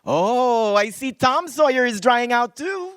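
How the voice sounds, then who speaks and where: Guardian angel, male actor: old, innocent, cheery, high and very animated, radio-play delivery. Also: takes it slowly, no echo, studio quality, exaggerated voice. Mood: earnest, chirpy. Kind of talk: live radio play acting.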